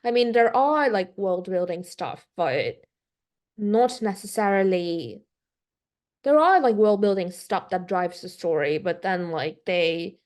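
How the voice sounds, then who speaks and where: A woman's voice: medium pitch, darkish tone. A young woman from Korea who speaks English with a British accent tinged with Californian, thinking aloud as a podcast guest. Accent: British English with a slight Californian tinge. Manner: thoughtful, hedging with frequent "like" and restarts. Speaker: guest concept artist. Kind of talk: casual podcast conversation.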